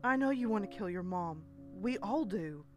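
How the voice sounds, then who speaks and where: A woman playing a supportive, steady wife and mother in an audio drama, speaking soothingly. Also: medium pitch, moderate pace, natural voice. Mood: gentle, firm. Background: background music.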